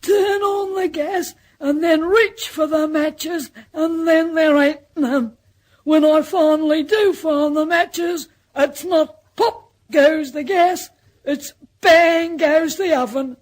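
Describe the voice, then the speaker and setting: An actress in an old-time radio drama playing a scatterbrained, naive older babysitter, rambling in a folksy Australian accent. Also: female voice, high voice, fairly even intonation, slow. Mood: flustered, chatty.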